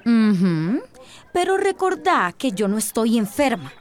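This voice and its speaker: An actress playing a playful, confident relief worker in a radio drama, teasing and direct. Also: female voice, on the high side, big pitch swings, measured pace. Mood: teasing, practical.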